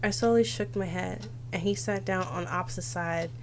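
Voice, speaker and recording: A woman's voice: fairly low pitch, fairly even intonation, fairly quick, noisy background. A young woman streamer reading visual novel text aloud dramatically, with casual asides. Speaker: game streamer.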